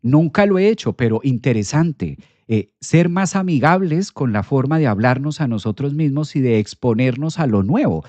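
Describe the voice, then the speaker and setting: A man's voice: mid-range voice, animated, normal speed, few pauses, darkish tone, dry recording. A man giving an earnest astrology talk, explaining step by step and acting out people's doubts. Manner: earnest, explanatory, quotes self-doubt in a livelier voice.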